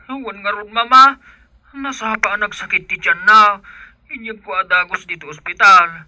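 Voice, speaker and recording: Bright-voiced, devoted young husband. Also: male voice, high-pitched, normal speed, dry recording. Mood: anxious, urgent. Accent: Ilocano Filipino. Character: devoted, anxious young husband and expectant father. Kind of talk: radio drama acting.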